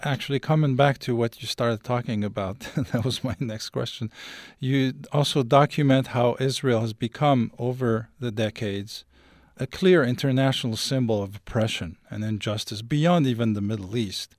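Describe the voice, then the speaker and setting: A man's voice: mid-range voice, moderate pace, a little mellow, dry recording, studio quality. Man from Algeria asking long questions. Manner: long, detailed questions, formal phrasing. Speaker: interviewer. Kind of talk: radio interview questions.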